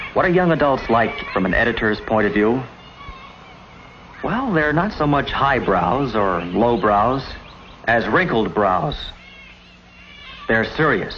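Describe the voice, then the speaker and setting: A man's voice: medium pitch, noisy background. An American man reading scripted narration in the style of a 1950s promotional film. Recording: dull vintage film soundtrack with music. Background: background music.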